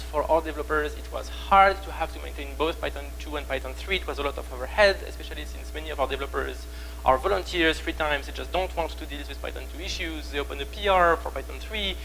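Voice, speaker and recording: A young man with a heavy French accent speaks into a stage microphone in a conference room, his voice sitting a little high. He talks in halting stops and starts, full of fillers and repeated words, with a faint hum underneath. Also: sharp tone, low-quality recording. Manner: halting, many fillers and restarts. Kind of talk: technical conference talk.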